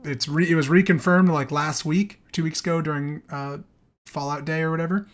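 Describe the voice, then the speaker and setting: A man with a slightly high voice who talks very fast. He is hosting a casual podcast chat about entertainment, speaking informally and swearing freely. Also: steady tone. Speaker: podcast host.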